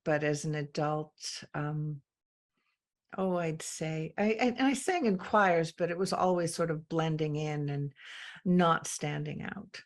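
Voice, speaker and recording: A woman from England, a guest in a conversation, speaking reflectively with frequent pauses and ums. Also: low-pitched. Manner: slow, thoughtful, with many pauses and ums. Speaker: interview guest.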